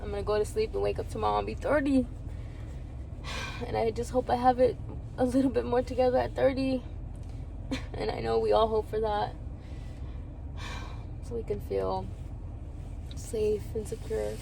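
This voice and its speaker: A woman's voice: a little high, flat delivery, normal speed, creaky, long pauses, mellow, rough recording. Woman, early 20s, candid vlogger, rambling and laughing, recorded outside with traffic noise. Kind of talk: reflective personal vlog.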